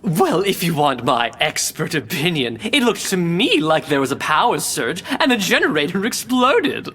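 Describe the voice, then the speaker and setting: A chatty, pedantic female scientist in an audio drama. She speaks precisely and knowingly, with huge swings in pitch. Her voice has a husky grain. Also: very animated, normal speed, fairly bright tone, heavily acted. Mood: knowing, hinting. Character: chatty, know-it-all female scientist and inventor, pedantic and gossipy.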